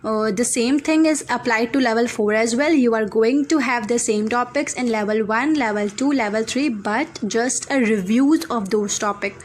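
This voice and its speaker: A woman's voice: fairly high pitch, normal speed, few pauses. A woman with a Pakistani accent, an online English teacher earnestly introducing her course, running through lists with frequent fillers. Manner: earnest, many fillers, list-like delivery.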